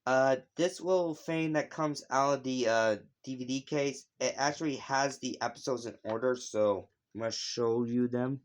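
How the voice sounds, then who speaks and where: A man's voice: mid-range voice, normal speed, few pauses. A man hosting a casual, unscripted video review, talking in a rambling, conversational way that drifts into asides. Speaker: YouTube video host reviewing animated shows. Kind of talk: casual unscripted opinion vlog.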